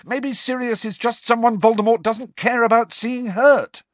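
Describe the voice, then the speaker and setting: Audiobook role: insistent, fiery teenage boy wizard, played by a man, raised pitch, swinging intonation, close dry sound. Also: sing-song, moderate pace, slightly rough, no room sound, exaggerated voice. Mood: angry, shouting. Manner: loud, urgent, shouting when challenged. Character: frantic, hot-tempered teenage boy wizard, urgent and insistent. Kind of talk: audiobook character acting.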